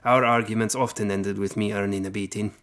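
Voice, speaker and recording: An actor playing a weathered knight who recounts his childhood in formal, measured phrases, in audio drama. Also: on the low side, steady tone, fairly bright tone. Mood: reflective.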